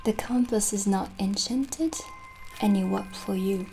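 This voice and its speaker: A young woman playing a gentle, kindly witch shopkeeper in an ASMR roleplay, soft-spoken and soothing, slipping toward breathy near-whispers. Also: mid-range voice, a little slow, soft background music, lightly acted, poor audio. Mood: calm, reassuring. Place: small indoor candlelit set.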